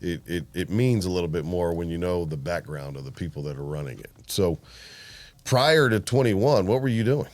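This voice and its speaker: Man, podcast host, low pitch, easygoing, enthusiastic reactions. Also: expressive, moderate pace, a little mellow. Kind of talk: casual interview.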